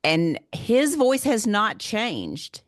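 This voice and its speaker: A woman with a husky, smoker-like voice, hosting a casual podcast chat. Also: mid-range voice, sing-song, extremely slow, no echo, studio quality. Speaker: podcast host.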